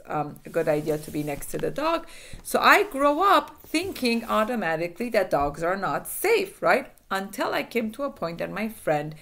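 A woman's voice: mid-range voice, creaky, a bit bright. Earnest woman, native Farsi speaker. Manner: earnest, repeats phrases, gestures a lot. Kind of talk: self-help talk to camera. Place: small indoor room.

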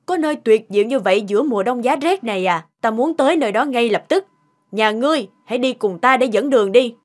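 A woman's voice: on the high side, expressive, fast. A woman voicing a haughty, spoiled and cruel cartoon queen, imperious and threatening. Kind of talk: cartoon villain acting. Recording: background music under the voice.

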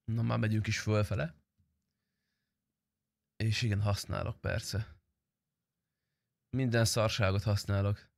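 A man's voice: fairly low pitch, level tone, vocal fry, pauses often, no echo. A young adult man chatting casually and slangily on a game livestream, close to the microphone. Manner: informal, slangy, swearing. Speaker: game streamer.

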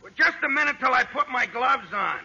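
A man's voice: very high voice, expressive, brisk, gravelly, sharp tone, slightly rough, full character voice. A man playing a surly, sarcastic store clerk in a 1940s radio comedy, on a muffled old recording with hiss. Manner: sarcastic, grudging.

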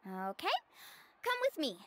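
A woman voicing a young, friendly, upbeat shopkeeper and guide in an anime game, cheerful and expressive. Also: mid-range voice, unhurried, no room sound.

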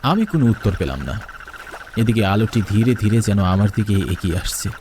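A man's voice: on the low side, lively intonation, fairly quick, background noise. A man narrating a first-person horror story in a dramatic, suspenseful way.

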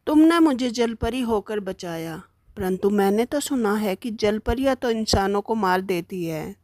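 A woman's voice: mid-range voice, expressive, a little slow, darkish tone, clean studio sound, close to an everyday voice. A woman voicing a young, courteous human prince in a fairy tale. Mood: curious. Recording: echoey, long reverberant sound.

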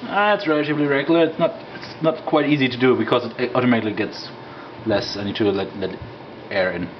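A man in a home kitchen giving halting, casual commentary on an experiment while concentrating on it. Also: medium pitch, highs cut off, slight background noise. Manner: halting, restarts mid-phrase while concentrating.

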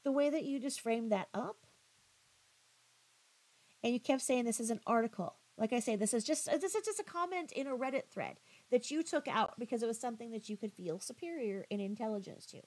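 A woman giving critical reaction commentary in a pointed, halting, questioning manner. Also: fairly high pitch, expressive, darkish tone, rough recording. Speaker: commentary vlogger.

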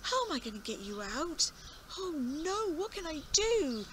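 A woman telling a story plays a kindly old farmer, flustered and fretful, tripping over his words as he worries aloud. His voice sits on the high side. The recording carries noticeable outdoor ambience and birdsong. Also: very animated, a little slow, background noise. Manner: stammering, fretful, repeats himself.

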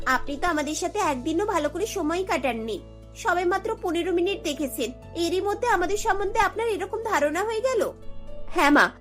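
A woman's voice: extremely high pitch, fairly bright tone. A woman voicing a polite, earnest young village daughter-in-law in a cartoon, respectful and pleading.

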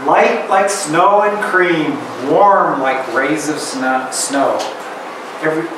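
A middle-aged man reading a picture book aloud to a class of children and asking them questions, in a slow, expressive storybook manner. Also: a little high, background noise. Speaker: guest reader. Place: elementary school classroom. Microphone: far camera. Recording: echoey classroom from far off.

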